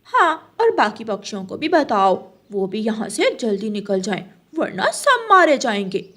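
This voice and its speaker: A woman's voice: medium pitch, very animated, normal speed, fairly bright tone. A woman voicing a kind, worried little female bird in a children's cartoon, speaking earnestly and politely. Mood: alarmed. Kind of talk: Hindi children's cartoon dialogue.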